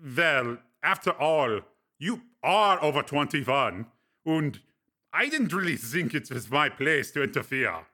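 A man's voice: a little high, animated, takes it slowly, bright, forceful tone, pitched above the performer's own voice, exaggerated voice. A man reading aloud, voicing a dry, mocking older male companion in a storybook. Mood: teasing. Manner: wry, teasing.

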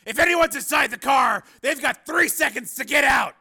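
Here is a tough, impatient fighting man from an action audiobook, acted out by a male narrator. He pushes his voice up into a bright, forceful bark and snaps out clipped commands. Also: high voice, expressive, normal speed, gravelly, slightly rough, voice pushed up, exaggerated voice. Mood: commanding, threatening.

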